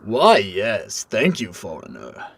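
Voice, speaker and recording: A man voicing an old leader of a fire nation in an animated fantasy, weighty, deliberate and theatrical. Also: lowish voice, gravelly, slightly rough, dry recording, full character voice. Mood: conceding. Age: older. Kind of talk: animated fantasy drama acting.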